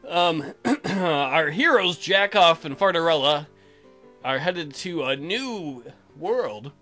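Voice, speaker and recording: A man's voice: on the high side, expressive, slow pace, pushed and bright. A man hosting a let's play, narrating and commenting on a game in a casual, irreverent, jokey way. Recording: music behind the voice.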